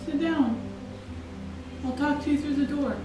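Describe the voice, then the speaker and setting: A young woman speaks in a high, concerned, coaxing voice. She is picked up from across a very echoey room at home. Clear music plays behind her. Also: measured pace, far from the mic. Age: young adult. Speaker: co-host, fiancée. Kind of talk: casual conversation. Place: house interior. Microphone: far camera.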